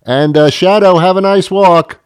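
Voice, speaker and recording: A man hosting a casual radio interview, friendly, quick to laugh, and full of short acknowledgements. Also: high-pitched, smooth, no echo. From Boston area. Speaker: radio show host.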